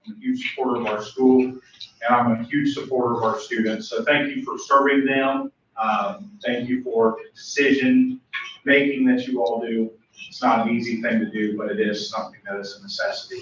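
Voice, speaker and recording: Young midwest guy, loud earnest pastor type, sounds far off in a big echoey room. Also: mid-range voice, a little hiss or hum. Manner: earnest, grateful, loud speaking voice. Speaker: youth pastor speaking at public comment. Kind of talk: public comment at a school board meeting. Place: large meeting room. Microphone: room mic from far off. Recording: echoey, dull, distant room recording.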